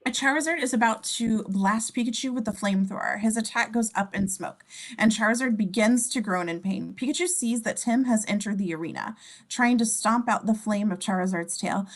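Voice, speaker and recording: A woman narrating scene descriptions in an amateur script reading, sometimes stumbling and correcting herself. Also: medium pitch. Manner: stumbles over words and self-corrects.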